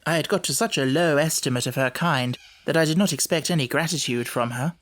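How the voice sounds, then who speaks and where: A man reading literary first-person narration in a measured, formal storytelling manner, as an audiobook narrator. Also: a little high, fairly bright tone.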